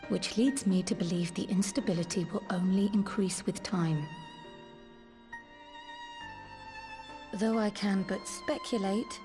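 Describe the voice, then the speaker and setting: Game role: grave female scholar actress, mid-pitched voice, measured archaic phrasing, loyal and resolute, music underneath. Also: warm tone, lightly acted. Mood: solemn, resolute. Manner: formal, measured, archaic diction. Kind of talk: video game cutscene acting.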